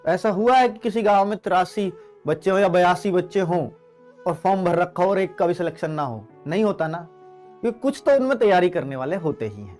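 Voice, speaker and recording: A man's voice: sits high, animated, brisk, darkish tone, soft background music. A man speaking as an exam coaching teacher, explaining and advising students, repeating key phrases and answering his own questions. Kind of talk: motivational exam advice to students.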